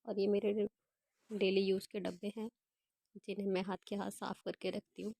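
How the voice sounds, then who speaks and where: A young woman with a hoarse voice from a cold, chatting casually as she walks through her evening kitchen routine step by step. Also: medium pitch, level tone, normal speed, dark tone, no echo. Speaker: home vlogger. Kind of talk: casual voice-over narration of home chores.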